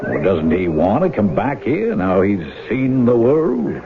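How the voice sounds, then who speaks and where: An actor playing a menacing, obsessive older farm brother in a 1970s radio drama, folksy, insistent and brooding. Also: male voice, on the low side, huge pitch swings, a little slow, a crowd in the background, full character voice. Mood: resentful, probing. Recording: muffled 1970s radio broadcast, music behind.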